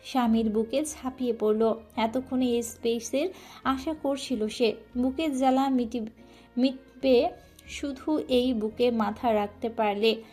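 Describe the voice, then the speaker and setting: A woman narrating a scripted romantic audio story in a soft, emotive way. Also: fairly high pitch, steady tone, a little slow, faint music behind.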